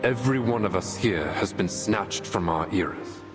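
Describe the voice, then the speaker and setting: An actor playing an earnest, resolute military officer in a game, making a measured, formal and persuasive case to a tribunal. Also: male voice, lowish voice, animated, natural voice. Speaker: soldier defending himself at a tribunal. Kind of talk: dramatic game voice acting. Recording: long hall reverb, music behind.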